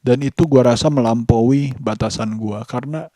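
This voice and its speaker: A man, giving a casual vlog monologue in halting, deliberate short phrases. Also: mid-range voice, dark tone, dry recording, clean studio sound. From Indonesia. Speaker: vlogger.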